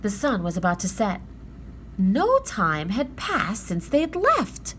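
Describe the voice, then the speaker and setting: A woman narrating a children's story aloud in an expressive read-aloud style. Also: mid-range voice, slow, a little hiss or hum.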